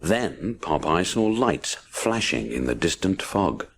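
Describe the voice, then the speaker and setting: A man's voice: low-pitched, big pitch swings, takes it slowly, creaky, slight background noise. A man narrating a children's adventure story dramatically, punching out the action words. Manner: punchy, theatrical sound-effect words.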